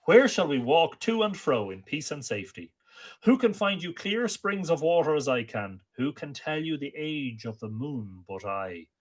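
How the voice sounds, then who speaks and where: A man's voice: on the high side, big pitch swings, moderate pace, pitched above the performer's own voice. A man reciting the boastful chant of an ancient male druid poet, in a declaiming, incantatory way.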